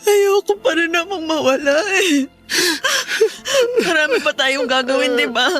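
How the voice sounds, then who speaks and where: A woman acting a frightened young wife and mother in a Filipino radio drama, crying and speaking haltingly. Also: high-pitched, fairly bright tone. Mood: tearful, pleading. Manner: tearful, halting, repeats phrases.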